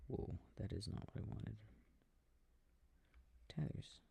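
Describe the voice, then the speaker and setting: A man playing an affectionate boyfriend in an intimate ASMR sleep-aid roleplay, murmuring and rambling softly with a breathy voice, close to the microphone. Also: lowish voice, animated, slow, exaggerated voice. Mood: absorbed, mildly puzzled. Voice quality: soft, husky, breathy. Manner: murmured, rambling, gently bemused.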